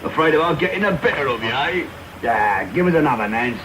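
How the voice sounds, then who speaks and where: An actor playing a brutal, surly housebreaker, gruff and blunt, in a radio drama. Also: male voice, a little high, animated, fairly quick, lightly acted. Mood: gruff, grudging. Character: brutal, surly male housebreaker, rough and threatening. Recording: very noisy medium-wave radio broadcast off tape.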